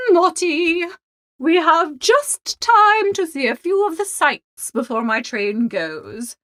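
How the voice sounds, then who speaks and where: A woman's voice: very high voice, fairly bright tone, dry recording, heavily acted. A woman playing an imperious, self-satisfied older English society mother in a dramatised audiobook, grand and presumptuous in manner. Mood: gracious, bossy. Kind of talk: dramatised audiobook character dialogue.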